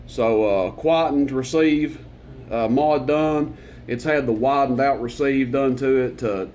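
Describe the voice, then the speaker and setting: Loud-voiced man demoing a CB radio. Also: mid-range voice, slight background noise. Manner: relaxed, rambling, many fillers. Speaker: CB radio reviewer. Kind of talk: casual product demonstration.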